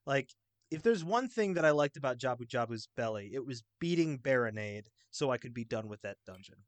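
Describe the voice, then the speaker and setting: Guy chatting on a games podcast, medium pitch, dry sound, kinda rambly and informal. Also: lively intonation, a little fast, no echo. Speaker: podcast panelist. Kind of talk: casual video game discussion.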